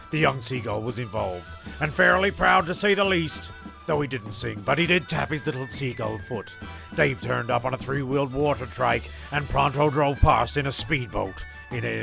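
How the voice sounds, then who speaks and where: A man's voice: medium pitch, vocal fry. A man hosting and narrating a comedy podcast in a rambling, colloquial, mock-serious way, often stumbling over his words. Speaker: host and narrator. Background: music.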